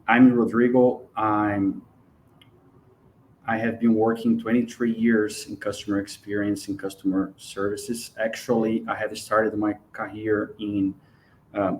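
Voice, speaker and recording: A man's voice: fairly low pitch, darkish tone, slight background noise. Brazilian man, earnest guest. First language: Portuguese. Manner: earnest, careful non-native English. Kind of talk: live webinar interview.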